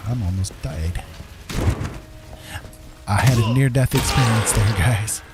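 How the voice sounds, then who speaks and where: Middle aged guy with a lowish voice doing chill game stream chatter, thinking out loud, loud music behind him. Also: slow pace. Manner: easygoing, thinks aloud. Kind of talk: casual live gameplay commentary, chatting with viewers.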